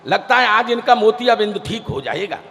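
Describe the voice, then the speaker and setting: A man's voice: high voice, expressive, moderate pace, vocal fry, fairly bright tone. A man performing comic poetry-gathering banter as compere, teasing and declaiming to a live audience. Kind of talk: live stage comedy and introductions to an audience. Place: large event stage before an audience. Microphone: stage microphone. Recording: hall reverb from a stage PA.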